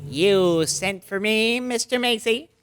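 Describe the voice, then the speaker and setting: A man's voice: high-pitched, takes it slowly, fairly bright tone, smooth, dry recording, faint music behind. A man playing a meek, flustered store employee, stammering and deferential, in a radio drama. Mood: nervous. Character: meek, flustered male store employee, mean but cowed. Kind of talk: old-time radio drama acting.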